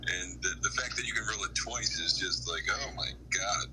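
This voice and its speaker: A man with a deep voice chatting casually and emphatically on a live gaming stream, full of opinions. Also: normal speed. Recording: clearly noisy live stream audio.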